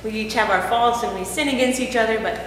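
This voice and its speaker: A woman's voice: a little high, fast, a little hiss or hum, lightly acted. A woman playing an overworked housewife in a church skit, talking emotionally and swinging between scolding and pleading. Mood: gentle. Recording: echoey hall from far off.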